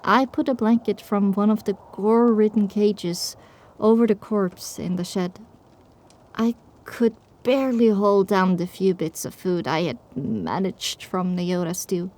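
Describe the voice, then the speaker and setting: A woman narrates a scripted audio drama in the first person. Her voice is warm and dark in tone, sitting at an average pitch for a woman.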